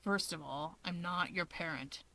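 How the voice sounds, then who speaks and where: A woman's voice: lowish voice, a little slow, sharp tone, no room sound, voice pushed down. A woman voicing a nagging, anxious older brother in a fan story, terse, sardonic and exasperated.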